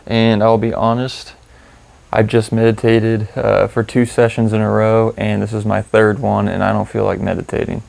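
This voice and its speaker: A man leading a guided meditation in an informal, candid and gently instructive way. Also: fairly low pitch, level tone, normal speed, vocal fry.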